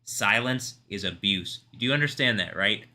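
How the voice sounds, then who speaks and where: A man hosting a live stream, talking in an intense, rambling way, confrontational at times and soft-spoken at others. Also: on the low side, expressive, moderate pace. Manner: calls himself soft-spoken. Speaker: livestream host.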